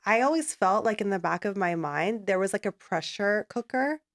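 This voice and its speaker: A woman hosts a casual podcast conversation. She speaks thoughtfully at a mid pitch, affirms her guest, and circles back over her own points as she builds them. Her delivery is even and plain. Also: moderate pace. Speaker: podcast host.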